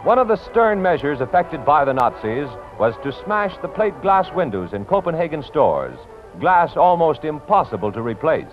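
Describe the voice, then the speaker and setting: A dull, old newsreel recording with music playing strongly behind an American man narrating. His delivery is solemn and dramatic, the pitch on the high side in classic 1940s announcer fashion. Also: sing-song, normal speed. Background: loud orchestral music.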